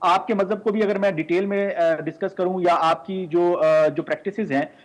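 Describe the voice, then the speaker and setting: Male debate panelist, fairly high voice, polite and explanatory, halting with frequent hesitations. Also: fairly even intonation, rough recording.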